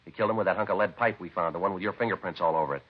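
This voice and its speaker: A man's voice: deep voice, racing, breathy edge. A man playing a terse, methodical police detective in a 1950s radio drama, matter-of-fact and unbelieving as he questions a suspect. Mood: dry, accusing. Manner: clipped, matter-of-fact interrogation. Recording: dull vintage radio recording.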